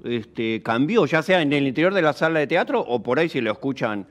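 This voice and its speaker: A man's voice: on the high side, lively intonation, brisk. An Argentine man with a Rioplatense accent interviewing casually and tentatively, often checking with tag questions, in a roomy recording. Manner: hesitant, seeks agreement with tag questions. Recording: roomy, echoey sound.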